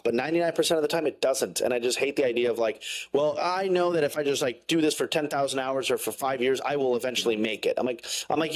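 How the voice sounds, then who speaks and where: A man speaks quickly and bluntly in a casual interview. His words tumble out with plenty of "like" and "um," and his voice sits at a middling pitch. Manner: fast, rambling, frank, many fillers. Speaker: guest, science content creator.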